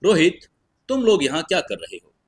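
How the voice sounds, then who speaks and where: Man voicing a haughty young sorceress student, husky. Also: fairly high pitch, huge pitch swings, normal speed, voice pushed up, full character voice. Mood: cold. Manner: scornful, condescending. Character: haughty, mocking young female magic student.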